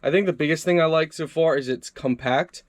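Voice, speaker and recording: A man's voice: fairly high pitch, fairly quick, no room sound, clean studio sound. Upbeat man casually reviewing a gadget. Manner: upbeat, chatty, talks to viewers as "guys". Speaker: product reviewer. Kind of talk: casual hands-on product review.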